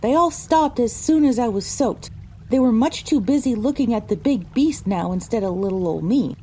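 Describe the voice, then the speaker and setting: A woman's voice: fairly high pitch, animated, normal speed, warm tone, a little hiss or hum, close to an everyday voice, rough recording. A woman narrating an audio drama in the first person as a frightened young female pony recounting her misadventure. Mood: dramatic recounting.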